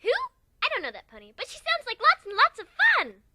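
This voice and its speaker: A man putting on a bubbly pegasus party pony from a fantasy story. The voice is extremely high and swoops wildly, gushing with excitement, over loud noise. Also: brisk, exaggerated voice. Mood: giddy, bubbly.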